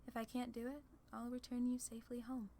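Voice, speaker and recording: A woman's voice: a little high, little pitch movement, brisk, dark tone, close to an everyday voice. Woman playing a teasing demon queen, audible background noise. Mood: pleased, gracious. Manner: casual, sardonic, teasing. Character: playful, teasing female demon ruler of hell, proud tormentor. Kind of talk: ASMR roleplay audio drama.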